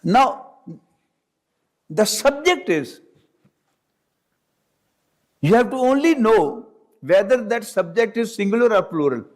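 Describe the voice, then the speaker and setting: A male teacher lectures on grammar in English that is not his first language. His voice sits high, and he speaks at an unhurried pace. He repeats each point and keeps asking whether the class understands. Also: very animated, a little mellow. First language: not English; Urdu is his second language. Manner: slow, deliberate, repeats points and checks understanding. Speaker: Arabic teacher.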